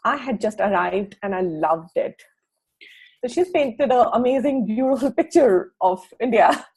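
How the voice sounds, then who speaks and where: A woman co-hosting a book club, reading aloud from a book and adding informal comments in a husky voice. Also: medium pitch, expressive, a little slow, a little mellow, rough recording.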